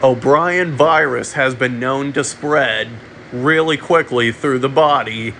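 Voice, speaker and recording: A man delivering a mock emergency alert in a halting way, often repeating and restarting his phrases. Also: mid-range voice, pushed and bright, slight background noise. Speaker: amateur narrator of a fictional emergency broadcast. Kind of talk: mock emergency alert announcement, semi-improvised.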